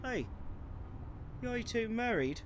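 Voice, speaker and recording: Man playing a high-voiced, scoffing police constable. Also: big pitch swings, takes it slowly, a little hiss or hum, full character voice. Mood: amused, jovial. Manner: chatty, amused, dismissive. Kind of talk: radio drama acting.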